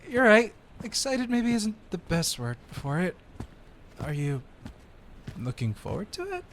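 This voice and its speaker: A man acts an eager, chatty agent in an audio drama. His voice is fairly high and bounces around in pitch, friendly and sheepish, over faint background ambience. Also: slight background noise. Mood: sheepish, agreeable. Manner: upbeat, rambling, sheepish. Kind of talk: audio roleplay acting, one side of conversation.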